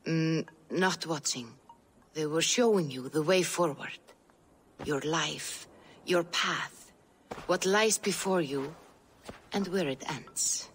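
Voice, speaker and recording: A woman voicing a mystical Norse seer in a video game, measured and portentous, like an oracle delivering fate. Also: low voice, a little hiss or hum. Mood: solemn, foreboding. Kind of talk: dramatic video game cutscene acting.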